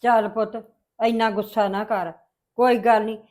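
A woman playing a pleading high-voiced village mother. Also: normal speed, close to an everyday voice. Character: pleading village mother, soft-hearted and worried. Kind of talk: family drama acting.

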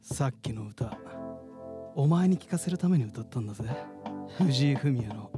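A man's voice: medium pitch, animated, normal speed, lightly acted. A man playing a cocky, carefree, teasing katydid in a theatrical radio drama style. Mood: taunting. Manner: theatrical, mock-polite turning taunting. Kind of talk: live radio drama acting. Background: music.

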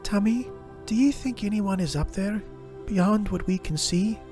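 A man voicing a pensive, affectionate young girl, speaking softly with wonder. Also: high voice, unhurried, music in the background, pitched above the performer's own voice. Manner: soft, pensive.

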